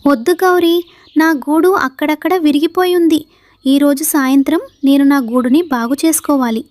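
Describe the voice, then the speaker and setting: A motherly female sparrow from a children's cartoon, voiced by a woman. She is earnest and caring, with a high, mellow voice and a dry, close sound. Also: normal speed, no echo, natural voice.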